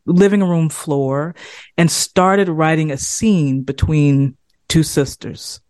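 A woman from South Carolina, a playwright guest talking through her work in a relaxed interview. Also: deep voice, slow pace, dry recording, studio quality. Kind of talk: casual interview.

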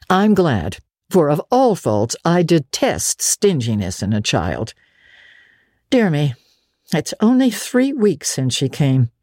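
A woman voicing a strict, sharp-tongued older woman who scolds briskly. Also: low-pitched, no room sound, studio quality, voice pushed up, full character voice.

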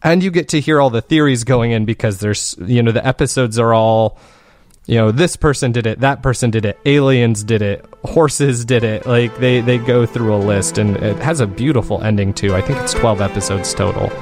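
A man's voice: mid-range voice, moderate pace, a little mellow, soft background music. A man speaking as an interview guest, chatting casually and enthusiastically in rambling explanations. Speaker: guest, fiction podcast maker.

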